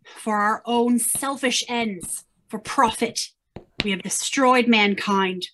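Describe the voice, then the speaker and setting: Radio drama: woman as solemn, guilt-stricken official, measured and mournful, slightly high voice. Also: fairly bright tone, a little hiss or hum, poor audio. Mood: remorseful. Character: remorseful, solemn company official. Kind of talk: old-time radio drama acting.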